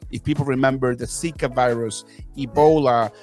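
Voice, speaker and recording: A man's voice: mid-range voice, steady tone, moderate pace, darkish tone, faint music behind. A man in his thirties from Venezuela, talking as a podcast guest in an emphatic, upbeat, motivational way. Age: 30s. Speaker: podcast guest, marketing consultant. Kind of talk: casual interview talk.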